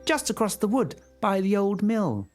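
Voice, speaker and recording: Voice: medium pitch, sing-song, moderate pace, music in the background, pitched above the performer's own voice, close to an everyday voice. A reader doing a chirpy little girl storyteller figure. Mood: chatty. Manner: eager, chirpy questions. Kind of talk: storybook reading.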